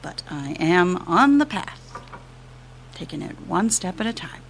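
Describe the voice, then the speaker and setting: Bereaved widow, slightly low voice, halting, candid, tender, faint ambient noise. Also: female voice, big pitch swings, rough recording. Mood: tender grief. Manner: halting, confessional. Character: grieving widow, candid and tender, sharing in a group. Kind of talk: naturalistic fiction podcast acting.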